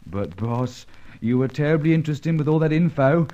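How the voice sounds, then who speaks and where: Actor as a highish-voiced grumbling little sidekick. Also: male voice, expressive, brisk, mellow, lightly acted. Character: little, grumbling, nervous male cockney-style sidekick. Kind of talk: old-time radio detective drama acting. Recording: dull vintage radio recording.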